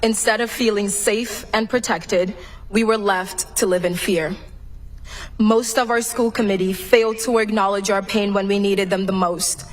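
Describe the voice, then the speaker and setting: Young woman from cameroon reading her graduation speech, earnest and measured, mid voice. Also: mid-range voice, steady tone. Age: young adult. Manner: earnest, measured, read from a prepared text. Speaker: class president giving graduation speech. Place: large graduation venue.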